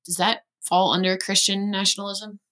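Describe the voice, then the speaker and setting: A woman hosting a podcast, asking a guest a question calmly and conversationally. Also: medium pitch, normal speed. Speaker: podcast host. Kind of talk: interview question.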